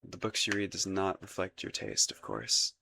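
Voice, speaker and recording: A man's voice: sits low, big pitch swings, normal speed, gravelly, sharp tone, slight background noise, exaggerated voice. A man acting a shy, lovestruck young crown prince in a romantic roleplay audio, hesitant and stammering, with formal courtly phrasing. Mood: curious, embarrassed.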